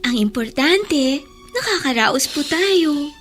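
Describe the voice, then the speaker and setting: Radio drama casting: loving teen daughter, giggly, respectful, high voice, unhurried. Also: female voice, lively intonation, fairly bright tone, faint music behind, heavily acted. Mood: affectionate, cheerful. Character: sweet, affectionate, studious teenage daughter. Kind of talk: Tagalog radio drama acting.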